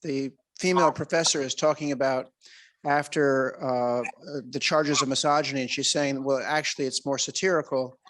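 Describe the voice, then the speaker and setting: A man's voice: mid-range voice, steady tone, moderate pace, a bit bright. A man interviewing a film director at a festival Q&A, full of ums and restarts as he frames his questions. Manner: hesitant, many ums and restarts.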